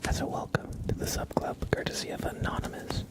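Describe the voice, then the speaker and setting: A man hosting an ASMR livestream, talking to viewers in a soft, hushed, gentle and halting way. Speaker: live streamer, ASMR host.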